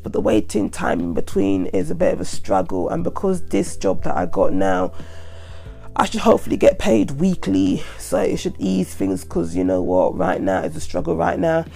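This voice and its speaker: Young adult, stuffy head cold, rambling podcast talk, dark tone. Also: medium pitch, sing-song, moderate pace, gravelly, soft background music. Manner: rambling, repeats "like I said". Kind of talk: casual solo monologue.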